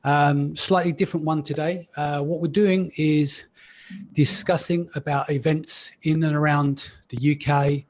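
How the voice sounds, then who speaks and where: Brief: male host, fairly high voice, relaxed chatty delivery on a call. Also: a little slow. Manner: relaxed, chatty, frequent ums. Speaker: podcast host. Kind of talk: live panel discussion.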